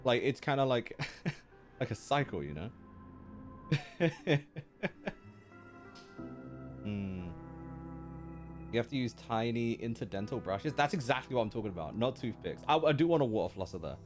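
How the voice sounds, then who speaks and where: A man's voice: mid-range voice, animated, moderate pace, pauses often. A man chatting casually and informally as a streamer reacting to a game, using lots of slang, with music behind. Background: faint game music.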